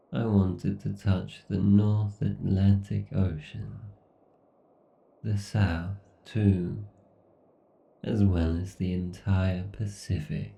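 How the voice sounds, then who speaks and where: A man playing an obsessed, villainous AI god in a horror roleplay, speaking with slow, brooding menace and deliberate pauses. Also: low voice, gravelly, mellow, far from the mic, dull audio, full character voice. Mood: brooding longing. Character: obsessed, villainous male AI god ruling a scorched apocalypse. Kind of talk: horror ASMR roleplay monologue.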